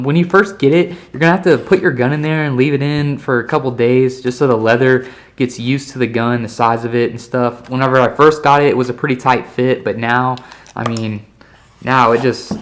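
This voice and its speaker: A man's voice: mid-range voice, fairly even intonation, normal speed, a bit bright. A man in his early twenties talks casually on a vlog, rambling with many fillers and some swearing. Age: 20s. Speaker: vlogger. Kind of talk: casual gun review vlog.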